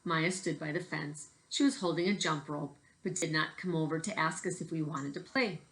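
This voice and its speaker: Low-voiced woman reading a storybook aloud. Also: moderate pace, a bit bright, rough recording.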